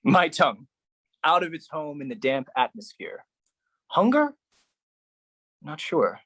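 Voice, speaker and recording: A performer delivering a theatrical spoken-word poetry monologue in a dramatic, deliberate, heavily emphatic manner. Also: medium pitch, big pitch swings, fairly bright tone, slightly rough.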